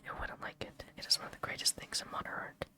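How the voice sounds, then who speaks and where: A reader whispering the part of a witty, worldly gentleman in an audiobook. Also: fast. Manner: soft whisper.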